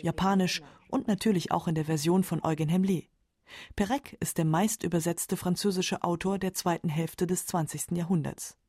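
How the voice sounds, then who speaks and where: A woman's voice: fairly low pitch, mellow, no echo. A woman with pronunciation reading scripted narration for a literary radio documentary.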